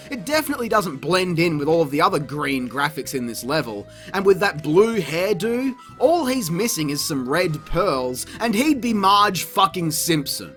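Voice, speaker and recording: A reviewer delivers a scripted comic rant about games, full of swearing and sarcasm. The pitch swings widely with exasperation, and music plays behind the voice. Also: fairly bright tone. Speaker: video game reviewer, channel host. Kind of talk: scripted comedic review narration.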